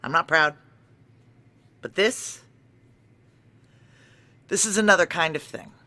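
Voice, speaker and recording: An actress playing a tough, middle-aged security chief in an audio drama, brisk, clipped and dryly blunt. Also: female voice, fairly low pitch, pushed and bright. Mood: blunt, resolved. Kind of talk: noir audio drama acting. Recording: music bed under voice.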